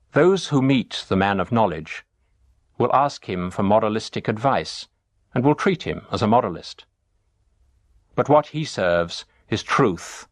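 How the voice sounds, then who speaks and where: Male storyteller, lowish voice, lively intonation, measured scripted narration in a dry recording. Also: no echo. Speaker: storyteller reading teaching tales.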